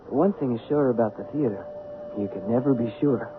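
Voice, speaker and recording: A man's voice: medium pitch, brisk, lightly acted. An actor playing an earnest Broadway producer's assistant, telling his story conversationally in an old-time radio drama. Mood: reflective storytelling. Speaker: actor and narrator. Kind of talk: old-time radio drama acting, first-person narration. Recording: narrow-band old radio with loud music.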